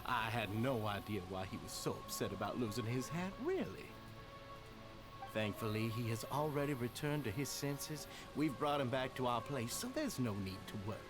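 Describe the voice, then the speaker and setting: A man plays a suave society enforcer in a video game, courteous on the surface and menacing beneath. His delivery is animated and condescending, with ornate politeness. Music plays clearly behind him. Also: mid-range voice, normal speed, lightly acted. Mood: smooth, condescending. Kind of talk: scripted game voice acting.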